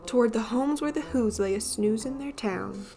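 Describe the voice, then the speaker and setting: A woman reading a rhyming picture book aloud with theatrical, expressive emphasis. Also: fairly high pitch. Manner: theatrical, drawn-out emphasis on repeated words. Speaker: children's story reader. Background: music.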